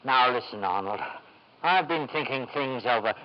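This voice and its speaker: An actor playing a nervous, reluctant business partner in 1950s radio drama, hesitant and plain-spoken, on an old broadcast recording. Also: male voice, medium pitch, lively intonation, moderate pace, a little hiss or hum, natural voice. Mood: anxious, agitated. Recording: dull lo-fi vintage radio broadcast.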